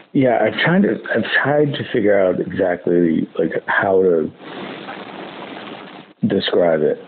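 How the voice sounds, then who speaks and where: Man, fairly low voice, halting casual chat, podcast co-host, noisy recording. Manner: halting, searching for words. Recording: tinny phone-like line with clear noise.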